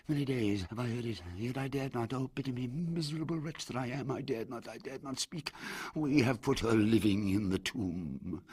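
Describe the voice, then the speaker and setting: A man's voice: medium pitch, breathy edge. A man voicing a frantic, half-mad nobleman. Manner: gibbering, desperate, rising to shrieks.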